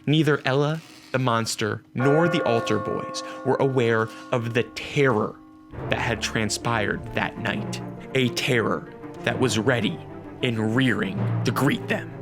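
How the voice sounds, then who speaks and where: A man narrating a scripted radio drama in a measured, theatrical storytelling manner. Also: mid-range voice. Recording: clear music behind.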